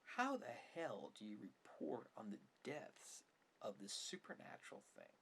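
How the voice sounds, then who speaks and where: A man's voice: fairly low pitch, huge pitch swings, a little slow, a bit bright. A man hosting an ASMR-style film commentary, complaining emphatically and sarcastically and at times dropping toward a whisper. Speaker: video host, film commentator. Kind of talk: ASMR opinion monologue on films. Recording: faint background noise.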